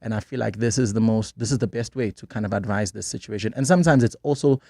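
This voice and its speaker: A man's voice: on the low side, fast talker, dark tone, no echo, clean studio sound. South African man in his late thirties, reflective. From South Africa, Durban. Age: 30s. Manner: reflective, restarts often, laughs easily. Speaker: guest, gospel singer and pastor. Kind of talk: radio studio interview. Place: radio studio.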